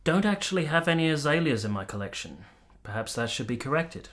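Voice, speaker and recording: A man's voice: on the high side, sing-song, a little fast, a bit bright. A man acting in a radio play as a cultured army captain, speaking in polite, formal, literary phrases with a wistful air. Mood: wistful, courteous. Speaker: actor and narrator in a radio play.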